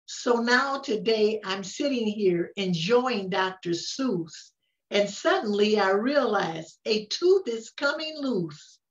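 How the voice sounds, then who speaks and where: An older woman reading a rhyming children's story aloud, with expressive, unhurried delivery and frequent pauses. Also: medium pitch. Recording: roomy, distant, dull-sounding microphone.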